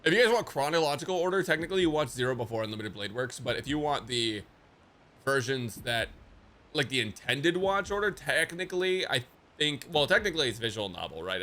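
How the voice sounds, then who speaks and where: A man's voice: medium pitch, sing-song, fast, bright, forceful tone. A man livestreaming a game reaction, talking casually to his chat in a rambling, sweary, slangy way.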